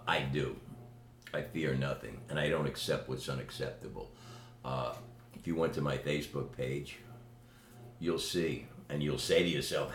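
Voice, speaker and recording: Deep bragging new york guy talking to camera, roomy echo, faint hum behind. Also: a bit bright. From New York City. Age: older. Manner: boastful, emphatic, slow deliberate delivery. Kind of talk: direct-to-camera video message. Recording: echoey room with faint ambient noise.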